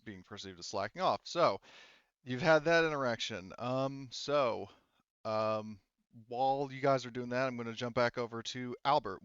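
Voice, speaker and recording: A man casually narrating a tabletop game as game master, explaining to players in a rambling, halting way. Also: lowish voice, a bit bright, clear, resonant tone, no echo, lo-fi sound. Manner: rambling, hedging, halting self-corrections.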